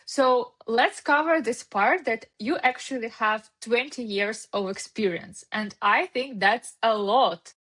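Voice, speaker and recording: A woman's voice: fairly high pitch, a little slow, hardly any pauses, fairly bright tone. A woman with a Ukrainian accent hosting a podcast, asking questions eagerly in non-native phrasing. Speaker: podcast host. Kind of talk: interview questions.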